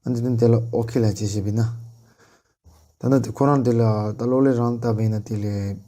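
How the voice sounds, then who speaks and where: A man giving an unscripted software tutorial, talking through the steps in halting, broken-up phrases. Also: medium pitch, fairly even intonation. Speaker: tutorial presenter.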